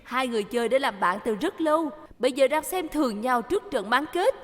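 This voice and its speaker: A high-pitched female television reporter from a cartoon. She presents dramatically, the voice trailing long reverb over faint music. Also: expressive, brisk. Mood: dramatic. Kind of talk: broadcast presenting in a cartoon dub.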